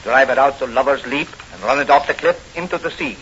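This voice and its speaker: A man's voice: medium pitch, brisk, a bit bright, lightly acted. An actor playing a shifty, blustering gangster bar owner with slangy tough-guy talk in 1940s radio style. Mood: scheming, bossy. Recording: muffled, hissy old radio recording.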